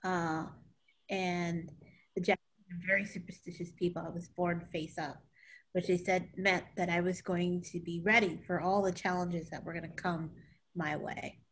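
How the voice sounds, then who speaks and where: A woman in her thirties, a leadership coach, speaking candidly and animatedly on a video call from her home, sometimes trailing off softly. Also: lowish voice, little pitch movement, moderate pace, low-quality recording. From born in Okinawa, grew up in California. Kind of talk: panel discussion. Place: small home room indoors. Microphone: laptop camera on video call.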